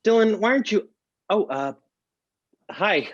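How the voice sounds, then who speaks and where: A man's voice: high-pitched, expressive, unhurried. Hesitant young man played by a man, muffled audio. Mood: sheepish. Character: awkward, apologetic young man seeking forgiveness. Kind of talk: screenplay table read. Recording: dull lo-fi sound.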